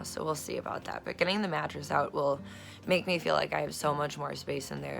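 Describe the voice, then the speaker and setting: Woman, hoarse, low-pitched, gravelly, rambling casual vlog chat, phone recording at home. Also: a bit bright. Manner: meandering, many fillers and self-corrections, swears casually. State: hoarse, losing her voice. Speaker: vlogger. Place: at home, in a room being turned into an office. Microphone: phone camera recording herself.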